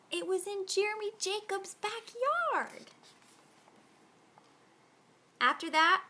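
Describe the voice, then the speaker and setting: A woman reading a picture book aloud to children in a dramatic, expressive storytelling style. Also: sits high.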